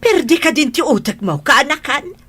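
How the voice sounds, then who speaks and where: Woman acting bright-voiced, blunt older matriarch. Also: high-pitched, expressive, moderate pace. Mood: scolding. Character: scolding, blunt older aunt or mother. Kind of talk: radio drama acting.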